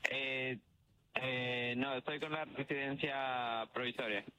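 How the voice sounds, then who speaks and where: A young male student with an Ecuadorian accent speaks in a spontaneous street interview. His mid-pitched voice is bright and forward, recorded with faint noise around it. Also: steady tone, slow pace. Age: young adult. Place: outside a university building.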